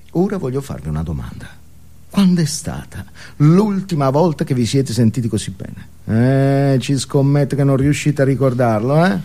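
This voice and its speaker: A man's voice: medium pitch, warm tone. A male actor playing a manic motivational guru in a theatrical monologue, preaching with bombastic, evangelical emphasis. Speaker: stage actor.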